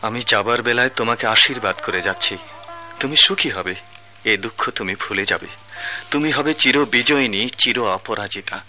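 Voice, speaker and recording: An actor playing a lovelorn young singer in a palace tale, declaiming poetically with dramatic pauses in a Bangladeshi accent, in old-fashioned radio drama style. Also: male voice, medium pitch, pushed and bright, faint music behind. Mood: tender, sorrowful.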